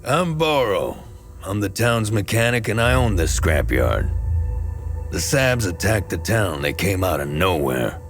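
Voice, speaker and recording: Deep-voiced, haunted town mechanic. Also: male voice, sing-song, a little slow, faint music behind, heavily acted. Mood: grim, shaken. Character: wary, haunted male town mechanic in a war-torn land. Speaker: town mechanic and scrapyard owner. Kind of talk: video game dramatic acting.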